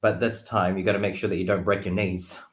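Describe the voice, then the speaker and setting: A man talking casually and reflectively as a guest tarot reader, expressive and playful, on an online call from a roomy space. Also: low-pitched, quick pace. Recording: roomy, echoey sound.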